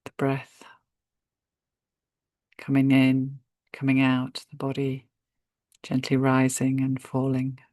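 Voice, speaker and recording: A woman guiding a meditation in a gentle, unhurried manner, speaking in short phrases with spacious pauses. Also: a bass voice, monotone, no echo. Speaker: meditation guide. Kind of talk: guided meditation narration.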